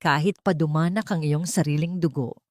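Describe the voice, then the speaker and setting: Cold woman army doctor for audio drama, read by a female narrator: low, stern, cutting, authoritative, recorded dry. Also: steady tone, normal speed, a little mellow, no echo, natural voice. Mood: cold, bitter.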